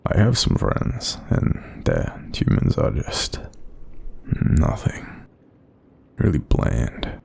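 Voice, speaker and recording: Casting: male actor as supportive Australian night demon for roleplay audio, breathy and husky, lively intonation, tender and reassuring. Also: extremely slow, heavily acted. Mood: tender, encouraging. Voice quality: very deep, gravelly, breathy. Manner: gentle, reassuring, informal. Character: kind, supportive male sleep paralysis demon watching over someone. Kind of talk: soothing roleplay audio monologue.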